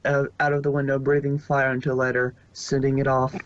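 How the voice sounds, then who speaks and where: A man's voice: medium pitch, completely flat delivery, brisk, lo-fi sound, a little hiss or hum. A man narrating a fan fiction story in an amateur reading.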